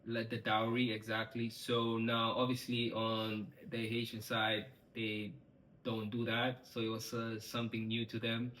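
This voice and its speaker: A young man from Congo chats on a couple's vlog in a low, level voice that hardly rises or falls. The room is echoey and lively. A faint background hum sits under his talk. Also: measured pace. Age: young adult. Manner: relaxed, steady, filler-heavy. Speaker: vlog co-host. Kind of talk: casual chat.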